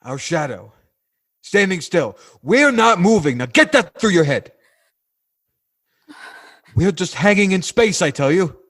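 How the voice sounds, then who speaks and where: Casting: man as agitated radio-play pilot, fairly high voice, slow emphatic delivery, widely swinging inflection, exasperated and commanding. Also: expressive, fairly bright tone, heavily acted. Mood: exasperated, insistent. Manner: emphatic, halting, insistent. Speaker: voice actor. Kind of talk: 1940s radio drama acting.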